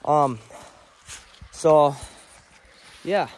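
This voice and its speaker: A young man casually vlogging a winter camping and ice fishing trip, easygoing and excitable. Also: fairly high pitch, drawn-out words, slight background noise. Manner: easygoing, excitable when fish are caught. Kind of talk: casual outdoor vlog. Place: ice-fishing tent and frozen lake.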